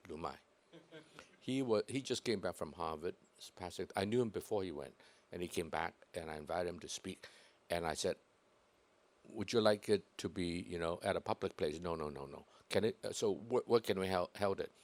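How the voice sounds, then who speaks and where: A man hosting a talk event, speaking in a jovial, self-deprecating way with many hesitant pauses. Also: low voice. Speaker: host of the event. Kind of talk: casual event chat.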